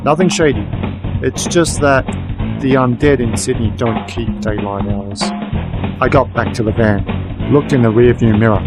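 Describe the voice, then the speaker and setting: A man acts as a werewolf private eye with a mid-pitched male voice, telling his story over music. He is dry, sardonic and world-weary, in the manner of noir radio drama. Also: a little slow, lightly acted. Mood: wry, weary. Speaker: narrator and lead. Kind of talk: first-person noir radio drama narration.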